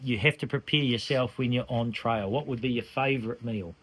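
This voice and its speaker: A man's voice: mid-range voice, a little fast, fairly bright tone, husky. Upbeat man interviewing on a trail. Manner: upbeat, brief replies.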